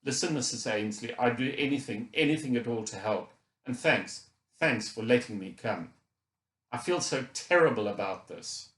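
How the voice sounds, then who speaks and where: A man's voice: mid-range voice, lively intonation, normal speed, roomy sound, natural voice. A man voicing a guilt-ridden middle-aged Scottish father in an audiobook, earnest and apologetic, with an unsteady voice. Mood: kind, apologetic. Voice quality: wobbly, unsteady. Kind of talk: audiobook character dialogue.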